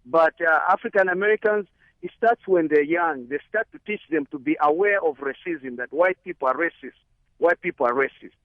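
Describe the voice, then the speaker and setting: A man's voice: on the high side, brisk, no echo. A man from Zambia calling in to a radio show, speaking earnestly and hesitantly. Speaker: caller. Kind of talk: radio call-in. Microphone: phone line. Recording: muffled phone line.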